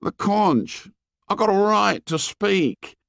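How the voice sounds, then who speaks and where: Storybook schoolboy outsider: very high pitch, whining indignation, swooping delivery. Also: male voice, animated, slow, gravelly, a bit bright, no echo, clean studio sound, heavily acted. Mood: insistent. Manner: shrill scolding tirade in ungrammatical speech.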